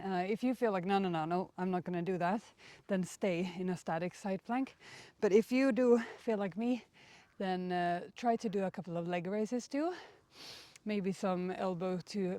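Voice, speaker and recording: Woman, non-native speaker, soft dark timbre, mid pitch, conversational encouraging workout host. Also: dry recording. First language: not English. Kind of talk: casual workout instruction and chat. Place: outdoors.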